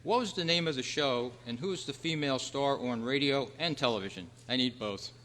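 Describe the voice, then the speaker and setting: A man hosting a trivia quiz at a club meeting, reading out questions in a measured, announcing way. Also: medium pitch, a bit bright. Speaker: quiz host. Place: hall at a convention club meeting. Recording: reverberant hall, clear background noise and hum.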